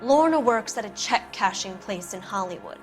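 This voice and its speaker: An actress playing a cynical Hollywood wife. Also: female voice, mid-range voice, expressive, normal speed, soft background music, close to an everyday voice. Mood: guarded. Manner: cool, bitter and evasive. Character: cynical female producer's wife, cold and guarded. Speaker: producer's wife and former actress. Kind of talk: 1940s noir video game acting.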